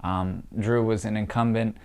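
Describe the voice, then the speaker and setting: A man's voice: lowish voice, steady tone, normal speed, darkish tone, smooth. A young man talking in casual political commentary, congested and nasal from allergies, opinionated and scornful with many fillers. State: congested, stuffy nose from allergies.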